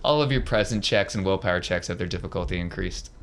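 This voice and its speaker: A man's voice: deep voice, quick pace, a bit bright. A man acting as game master, casually narrating tabletop game action and explaining rules. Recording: noisy room recording.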